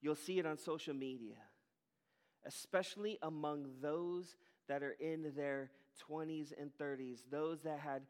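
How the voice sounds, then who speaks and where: A man's voice: fairly high pitch, fairly even intonation, a little slow, clean tone. A pastor preaching a sermon to his congregation in an earnest, emphatic manner, with falling cadences. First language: English.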